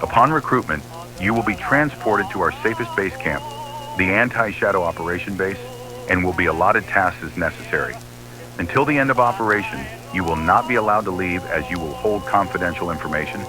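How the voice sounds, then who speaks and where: A man's voice: deep voice, lively intonation, fairly quick, over music, low-quality recording. A man reading a scripted recruitment announcement in a formal, official-sounding manner. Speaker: narrator of an analog horror tape.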